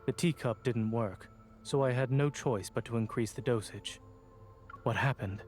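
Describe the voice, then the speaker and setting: An actor plays a reserved young doctor in a game drama. He is a man of mid-range voice, measured and understated, coolly caring. Faint music sits behind him. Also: mid-range voice, darkish tone, lightly acted. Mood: calm concern. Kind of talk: scripted game voice acting.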